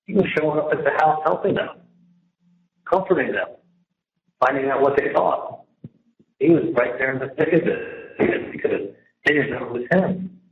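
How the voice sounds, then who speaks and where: Muffled echoey jail call, guy rambling and venting, keeps repeating himself, bit of line noise. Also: mid-range voice. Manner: emphatic, repetitive, venting, trailing off mid-sentence. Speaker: prisoner on a jail phone call. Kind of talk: casual, rambling private phone conversation. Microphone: telephone handset.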